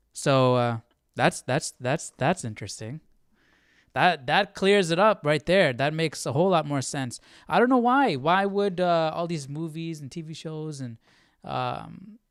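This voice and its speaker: A man hosts a live Bible study in a casual, animated way. His voice is fairly high and smooth, recorded dry and close. He thinks aloud, stopping and restarting as he goes. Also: no room sound.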